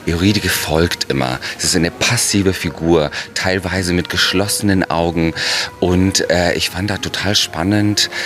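Male choreographer, Italian accent, very low voice, explaining his work in interview style. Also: fairly bright tone. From Italy. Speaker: choreographer and director.

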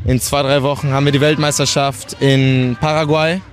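A man's voice: mid-range voice, normal speed, soft background music. Young man, casual athlete interview. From Portugal. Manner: casual, relaxed, with fillers and restarts. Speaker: skateboarder.

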